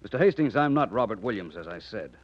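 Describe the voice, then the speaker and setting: An actor playing a polite, shrewd private detective, formal and probing, in 1940s radio style. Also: male voice, fairly low pitch, sing-song, fast talker. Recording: dull 1940s radio recording.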